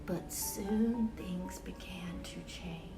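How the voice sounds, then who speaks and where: A woman reading a picture book aloud to children as a storyteller, expressive and exclamatory, with dramatic emphasis on key words. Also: lowish voice, slow, far from the mic, loud music behind the voice, rough recording. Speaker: children's storyteller and event host. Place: University museum gallery.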